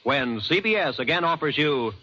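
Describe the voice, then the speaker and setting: A man's voice: fairly high pitch, lively intonation, moderate pace, sharp tone. An American man announcing formally and emphatically in the style of 1940s radio. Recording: muffled old radio recording, faint noise.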